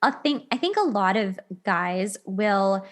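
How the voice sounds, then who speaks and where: A woman hosting a casual relationship talk show, speaking in an animated, colloquial way. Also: medium pitch, slow.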